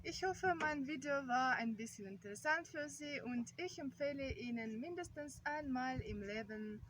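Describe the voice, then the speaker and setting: A woman from Russia with a Russian accent gives prepared travel narration as a tour guide, in careful, slightly halting non-native phrasing. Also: high voice, expressive. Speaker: vlogger acting as tour guide. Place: outdoors on an island. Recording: very noisy, muffled outdoor amateur recording. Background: loud ambient noise.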